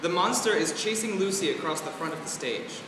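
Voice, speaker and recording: Male stage-directions reader, fairly high voice, scripted narration, distant and heavily echoing. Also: expressive, brisk. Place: large reverberant indoor performance space, far mic. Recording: very echoey hall, distant mic, rumbling noise.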